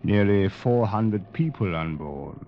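Low, sinister, corpse-like doctor. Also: male voice, expressive, dull audio. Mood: quietly menacing. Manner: formal, measured, ominous. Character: gaunt corpse-like sinister doctor, coldly courteous and probing. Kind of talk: old-time radio drama acting. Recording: band-limited, noisy vintage radio broadcast.

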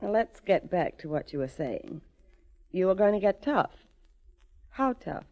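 A woman's voice: lowish voice, big pitch swings, a little slow. A woman playing a hard-edged, wary and defiant young woman in 1940s radio drama style. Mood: cool, scornful. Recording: muffled 1940s radio recording.

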